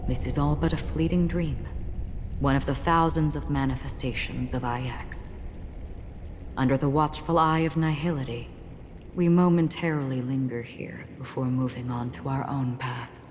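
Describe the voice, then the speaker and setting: A woman voicing a solemn, enigmatic wanderer in a video game, speaking in a measured, cryptic, philosophical way. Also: extremely low pitch, fairly even intonation, slight background noise. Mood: grave. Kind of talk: scripted video game dialogue. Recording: long reverb, clear background ambience.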